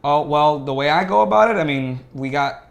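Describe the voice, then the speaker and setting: A man's voice: on the high side, animated, brisk, creaky. Laid-back talking man, casual podcast guest. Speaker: podcast guest, gaming tournament organizer. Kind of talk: casual chat.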